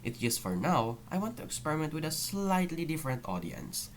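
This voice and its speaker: A man's voice: a little high, big pitch swings, fairly quick, slight background noise. A young man with a Filipino accent giving a candid, apologetic personal update to viewers, recorded straight on an unprocessed microphone. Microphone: raw microphone with no processing.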